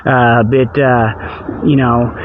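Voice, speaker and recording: A man's voice: mid-range voice, flat delivery, drawn-out words, narrow, tinny audio, a little hiss or hum. A man hosting a radio show in a rambling, folksy, repetitive comic monologue, recorded outdoors on a tablet. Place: outdoors on a walk before dawn. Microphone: budget tablet held in hand.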